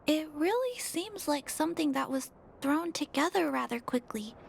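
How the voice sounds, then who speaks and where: A woman voicing a gentle, soft-spoken young girl who cares for robots, earnest, polite and a little hesitant, in a video game. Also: sits high, lively intonation, moderate pace, gravelly, slight background noise, exaggerated voice. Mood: thoughtful, earnest.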